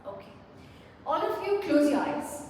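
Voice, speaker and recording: Female workshop presenter, high voice, chatty and engaging with the crowd; distant mic, very roomy hall sound. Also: sing-song, a little slow, a little mellow. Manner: engaging, asks the audience questions, leads exercises. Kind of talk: interactive self-care workshop. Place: large hall with an audience. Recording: echoey hall, mic far off, faint noise.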